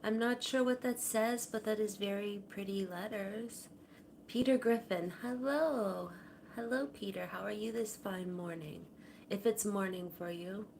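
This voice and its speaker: Chatty woman at home, talks soft and rambly, mid pitch, lots of fry. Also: a little slow, slight background noise. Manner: soft-spoken, rambling, trails off quietly. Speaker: livestream host. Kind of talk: casual chat to viewers. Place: home kitchen. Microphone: camera on a tripod.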